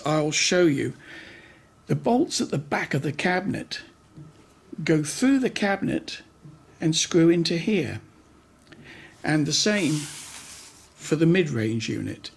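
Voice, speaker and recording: A man giving an unscripted hands-on demonstration, talking in an unhurried way and pausing mid-sentence as he works. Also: on the high side, creaky, breathy edge. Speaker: host of a hi-fi repair channel.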